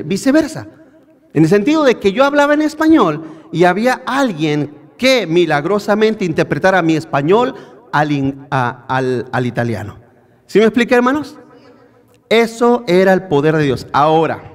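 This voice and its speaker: High-pitched preacher in an echoey hall. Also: male voice. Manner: preaching, addresses listeners as brothers, rhetorical questions. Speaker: pastor. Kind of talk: sermon. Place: church in Bakersfield, California.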